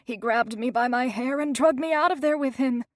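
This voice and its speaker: A scared teenage girl on the run, as a woman reads her, talking in rough, broken grammar. Her voice sits high and tight in a dry, close storybook reading. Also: moderate pace, dry recording, natural voice. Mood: frightened. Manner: rough, ungrammatical speech. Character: frightened teenage girl, battered runaway.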